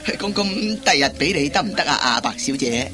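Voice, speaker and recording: A man's voice: fairly high pitch, lively intonation, a little fast, lightly acted. An actor playing a timid, flustered customer in a radio comedy, stammering and repeating his words. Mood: panicked, pleading. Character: timid, flustered, henpecked middle-aged man, hapless dance hall customer. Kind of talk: Cantonese radio comedy acting.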